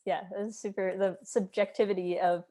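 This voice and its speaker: A woman's voice: mid-range voice, little pitch movement, moderate pace, mellow. A woman hosting a casual interview in a conversational style.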